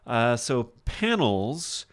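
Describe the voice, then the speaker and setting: A man teaching software in a casual screen-share tutorial, thinking aloud informally as he demonstrates each step. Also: medium pitch, sing-song, extremely slow. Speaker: software instructor.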